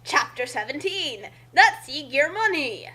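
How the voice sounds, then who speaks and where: A woman's voice: high-pitched, sing-song, measured pace, bright, forceful tone. A woman reading a story aloud in a playful, dramatic way, with casual asides as a co-host.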